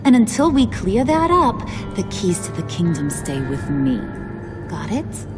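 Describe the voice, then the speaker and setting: A woman's voice: medium pitch, big pitch swings, a little slow. A woman playing a playful but menacing female gang boss in a video game, slangy, bossy and teasing. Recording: music behind the voice.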